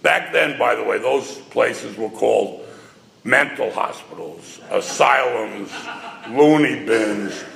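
An older man tells his story slowly and deliberately, his voice deep with gravel and grit. He speaks into a stage microphone, and his wry, self-mocking asides ring out through a large hall with a long, roomy reverb. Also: bright, forceful tone. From New York. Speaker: speaker addressing an audience. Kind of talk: personal storytelling talk. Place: large hall before a live audience.

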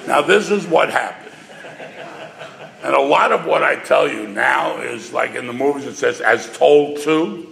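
An older man from New with a gravelly voice, telling a personal story with wry, self-mocking humor to a live audience over a stage microphone. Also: on the high side, unhurried, fairly bright tone. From New York. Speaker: speaker addressing an audience. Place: large hall before a live audience. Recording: reverberant hall sound.

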